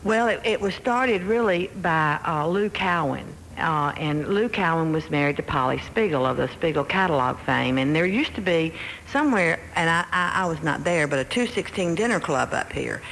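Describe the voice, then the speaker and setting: A woman's voice: deep voice, expressive, normal speed, gravelly, fairly bright tone. A middle-aged woman, reminiscing anecdotally as a guest in a radio interview. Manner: anecdotal, quoting remembered conversations. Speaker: interview guest, music publishing executive. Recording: noisy, hall-like reverberant radio aircheck.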